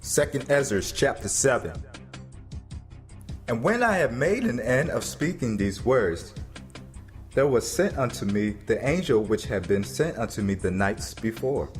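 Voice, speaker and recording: A man narrating scripture as a drama, in a solemn, deliberate, declamatory manner. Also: mid-range voice, sing-song. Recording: long reverb with music behind.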